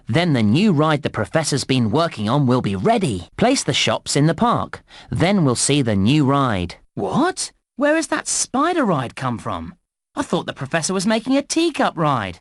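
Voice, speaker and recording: Animated, eager children's game guide. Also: male voice, mid-range voice, dry recording, studio quality. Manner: enthusiastic, encouraging, clearly instructive. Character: friendly, eager male theme park guide in a children's game. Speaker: game narrator and tutorial guide. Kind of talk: scripted video game tutorial narration.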